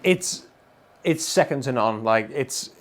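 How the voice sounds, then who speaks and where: A man's voice: medium pitch, animated, takes it slowly, loud music behind the voice. A middle-aged British man giving an enthusiastic, emphatic and colloquial gear demo to camera. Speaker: guitar gear demonstrator. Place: indoor room full of guitars and amplifiers.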